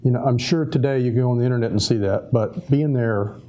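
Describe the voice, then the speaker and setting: A middle-aged man recounting life abroad as a guest in a relaxed TV interview, talking slowly with many restarts. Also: mid-range voice, lo-fi sound. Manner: unhurried, many restarts and fillers. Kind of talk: casual interview conversation. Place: local TV studio.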